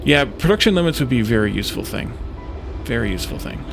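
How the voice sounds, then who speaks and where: A man giving casual gameplay commentary as a let's play host, thinking aloud in a hesitant, halting way. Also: mid-range voice, big pitch swings, vocal fry. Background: music in the background.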